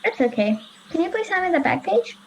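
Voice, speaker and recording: A woman's voice: very high-pitched, sing-song, brisk, vocal fry, a little mellow, a little hiss or hum, heavily acted, low-quality recording. A girl of ten or eleven, playing a bullied, resigned schoolgirl in a radio play. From Australia. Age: child, 10 to 11. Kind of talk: children's radio play acting.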